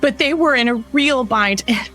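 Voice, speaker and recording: A woman playing a sweet, distraught working mother in an audio drama, speaking in an earnest, halting, fretful way. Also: high-pitched, a bit bright, faint music behind. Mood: upset, explaining. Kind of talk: immersive audio drama acting.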